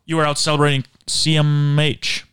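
A man's voice: fairly high pitch, completely flat delivery, normal speed, a bit bright, clear, resonant tone, dry recording. A man co-hosting a podcast, joking and riffing in casual banter with a friend. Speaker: podcast co-host.

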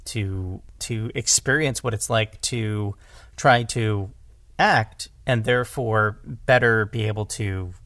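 A man's voice: fairly low pitch, slow, a bit bright, dry recording, studio quality. A man in a casual discussion as a podcast guest, thinking aloud and often repeating his words. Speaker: podcast guest, audio drama director.

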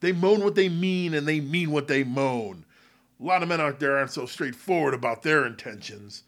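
A man's voice: on the high side, lively intonation, normal speed, a bit bright, pitched above the performer's own voice. A man playing a bitter, self-justifying former salesman confessing in a first-person apocalypse story, with a sardonic, self-pitying manner. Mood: bitter, ranting. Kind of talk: dramatic first-person audio fiction monologue.

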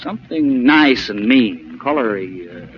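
An actor playing a brash, glib and excitable young heir in a stockbroker's office, cocky and sometimes stammering, in 1940s radio comedy style. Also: male voice, mid-range voice, unhurried, close to an everyday voice. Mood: glib, eager. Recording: muffled, noisy old radio broadcast recording.

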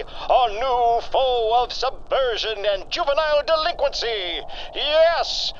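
Bombastic male radio-serial narrator, acted. Very high, swooping pitch, grandiose and pompous, over a muffled track. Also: full character voice. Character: bombastic old-time superhero serial announcer. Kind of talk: melodramatic radio play narration. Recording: muffled, noisy lo-fi audio.

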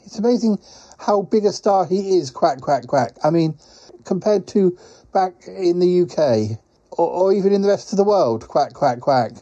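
Casting: male performer as a fussy, polite duck courier for comedy audio; highish, hesitant, quack-punctuated, slightly muffled recording. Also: animated, moderate pace, warm tone. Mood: fussy, chattering. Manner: hesitant, rambling, quacks as a verbal tic. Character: flustered, polite talking duck courier, male, pie-stuffed traveller. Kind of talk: comic audio skit for a podcast.

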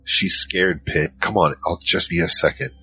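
An actor playing a giant troll, worried and soft-hearted, narrating in the first person in an audio drama. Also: male voice, deep voice, normal speed, rough recording. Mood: recounting, uneasy. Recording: music behind.

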